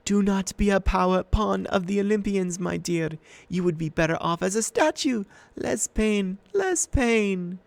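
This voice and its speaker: A man's voice: high-pitched, animated, unhurried, creaky, no echo, voice pushed up, full character voice. A man voicing a sweet-voiced old female monster with a purring, coaxing manner in an audiobook. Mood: menacing. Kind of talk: audiobook character voice.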